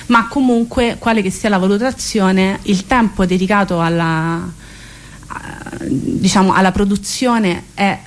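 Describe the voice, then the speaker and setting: A woman speaks as a radio interview guest, explaining a project in long sentences while searching for words. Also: lowish voice. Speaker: guest presenting a theatre residency project.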